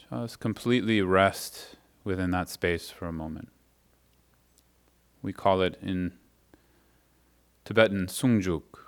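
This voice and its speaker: Slow, deep-voiced man teaching Buddhism. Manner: slow, soft-spoken meditation guidance; chants mantra slowly and drawn out. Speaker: guest speaker on Buddhism. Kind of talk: guided meditation and chanting, then lecture. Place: meditation hall at a religious society.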